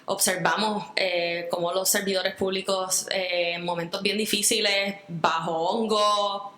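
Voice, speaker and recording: A woman's voice: mid-range voice, fairly even intonation, unhurried, a bit bright, echoey room. A Puerto Rican woman speaking earnestly in an interview, reflecting at length with fillers and restarts. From Puerto Rico. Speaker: guest. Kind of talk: interview conversation.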